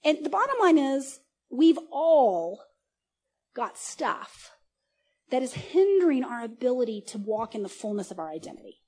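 A woman in her forties giving a casual, conversational sermon at a church service, animated, often laughing and restarting her sentences. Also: high-pitched, normal speed, husky. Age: 40s. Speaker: preacher.

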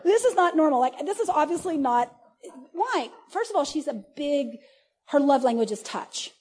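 A woman in her forties giving a casual, conversational sermon at a church service, animated, often laughing and restarting her sentences. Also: high-pitched, normal speed. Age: 40s. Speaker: preacher.

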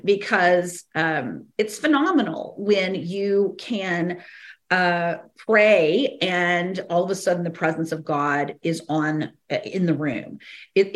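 A woman with a slightly hoarse, fairly low voice hosts her teaching podcast. She talks in a rambling, casual way, full of fillers and restarts, and laughs briefly between thoughts. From American South. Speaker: podcast host and ministry teacher.

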